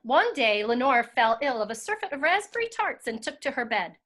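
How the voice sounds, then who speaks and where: Higher-voiced American woman reading a story. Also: sing-song, brisk, a bit bright. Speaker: host and narrator, school teacher. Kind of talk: storybook narration and introduction.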